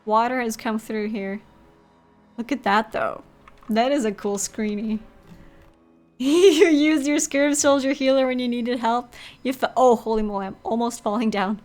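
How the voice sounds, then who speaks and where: A woman's voice: a little high, steady tone, moderate pace, faint music behind. A woman hosting a live game stream, talking casually and expressively and reading bits aloud in a chatty, giggly way.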